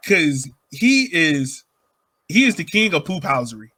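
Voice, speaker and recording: A man hosting a sports podcast, chatting casually and colloquially about soccer in an animated, joking way. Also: a little high, unhurried, no echo. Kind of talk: casual soccer game discussion.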